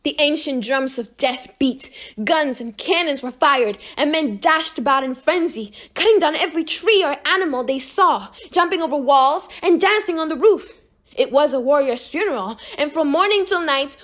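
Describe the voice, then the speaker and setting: Female narrator, high-pitched voice, expressive and emphatic amateur reading of literary fiction. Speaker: audiobook narrator.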